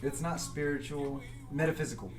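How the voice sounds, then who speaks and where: A man's voice: fairly high pitch, fairly even intonation, brisk, roomy sound, recorded from a distance, over music, poor audio. A man telling a long, rambling story on a podcast, casual and full of swearing. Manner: rambling storytelling with frequent profanity. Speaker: podcast guest. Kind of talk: casual chat.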